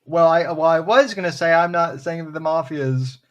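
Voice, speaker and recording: A man hosting a podcast in casual chat, talking in a halting way with many pauses and restarts. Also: fairly high pitch. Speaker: podcast host.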